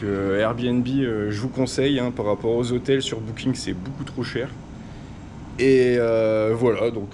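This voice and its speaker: A man's voice: mid-range voice, warm tone. Casual Frenchman vlogging over background noise. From Nord-Pas-de-Calais, northern France. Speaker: travel vlogger. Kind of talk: casual travel vlog narration to camera.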